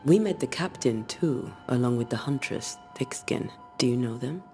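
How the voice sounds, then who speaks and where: A man's voice: mid-range voice, animated, normal speed, creaky, a little mellow, faint music behind. An actor playing an earnest, courteous ghost hunter of colonial times in a video game, direct in his questioning. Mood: inquiring. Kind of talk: dramatic video game acting.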